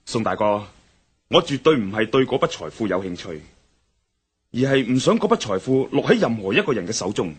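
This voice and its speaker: An actor playing an earnest, determined adventurer in a radio drama, speaking with a Hong Kong Cantonese accent in a persuasive manner. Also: male voice, medium pitch, sing-song, a little fast, breathy edge.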